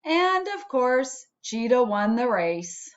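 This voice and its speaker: Female storybook narrator: high-pitched, unhurried, expressive read-aloud for children, slightly dull sound. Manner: measured, storytelling. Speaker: storybook reader.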